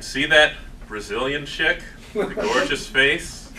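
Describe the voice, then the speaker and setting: A man playing a boastful, girl-chasing coffee shop worker at a comedy table read, with a brash, drawn-out delivery. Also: a little high, animated, bright, forceful tone, echoey room, exaggerated voice. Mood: leering, smug. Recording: distant camera mic, faint noise.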